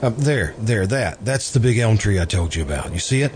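A man performs a lovesick, brooding man in a radio play. His low voice moves widely in pitch as he pleads haltingly, over a clearly noisy recording. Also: animated, lightly acted. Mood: brooding, resigned. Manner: halting, pleading, repeats himself. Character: earnest, lovesick man, brooding and quietly obsessive. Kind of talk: old-time radio drama acting.

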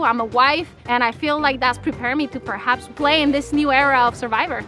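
High-voiced Puerto Rican woman over music. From Puerto Rico. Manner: earnest, upbeat self-introduction. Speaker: reality show contestant. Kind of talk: edited introduction clip. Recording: clip with clear music behind.

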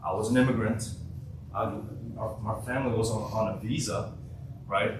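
A man's voice: lowish voice, steady tone, moderate pace, noisy background. A man in his twenties from South Korea, a native Korean speaker, giving a casual youth workshop talk and often asking the audience questions. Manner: conversational, asks the audience many questions. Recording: echoey hall, voice from far off.

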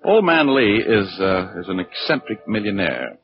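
An actor playing a brash, stubborn wisecracking private detective in a 1940s radio drama. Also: male voice, fairly low pitch, very animated, moderate pace, over music. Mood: wry narrating. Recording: muffled, noisy 1940s radio recording.

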